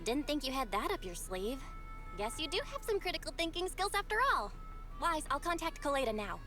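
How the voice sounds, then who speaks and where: A brash young mercenary boss with a high, very animated voice. She boasts and teases theatrically, like a video game schemer with a plan for everything. Music plays behind her. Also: a little fast, exaggerated voice. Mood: sly, confident. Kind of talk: anime-style video game voice acting. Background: background music.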